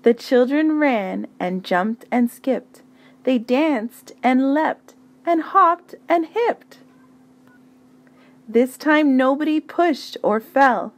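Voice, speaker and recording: High-voiced woman reading a storybook very slowly. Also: expressive. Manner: deliberate, dramatic phrasing with emphatic pauses. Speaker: storybook reader. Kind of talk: children's picture-book read-aloud.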